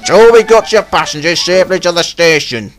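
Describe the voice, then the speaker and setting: A man voicing a stern but kind elderly railway boss, measured and pompous. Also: high voice, close to an everyday voice. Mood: pleased. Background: loud background music.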